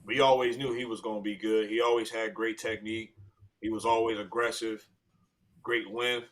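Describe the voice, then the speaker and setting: Forceful, lowish American man on sports panel. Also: little pitch movement, normal speed. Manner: emphatic, repeats phrases for stress. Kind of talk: casual sports opinion.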